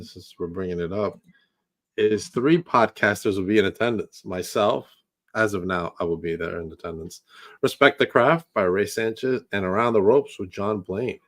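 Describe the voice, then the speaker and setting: A man's voice: fairly low pitch, a bit bright. Upbeat New York man hosting a podcast. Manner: upbeat, easygoing, laughs along. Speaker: podcast host. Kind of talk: casual podcast interview.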